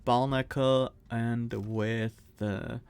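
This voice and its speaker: A man with a Swiss accent, streaming a card game and thinking aloud, reading cards and weighing choices with pauses and fillers. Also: mid-range voice, steady tone, creaky, darkish tone, clean tone. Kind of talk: casual gameplay commentary.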